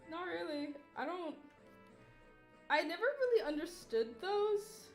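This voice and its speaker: A woman's voice: very high voice, expressive, a little slow, roomy sound, faint music behind. A woman in her twenties chatting casually on a livestream while drawing, rambling, tired and yawning, swearing offhand and making self-mocking jokes. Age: 20s. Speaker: artist livestreamer.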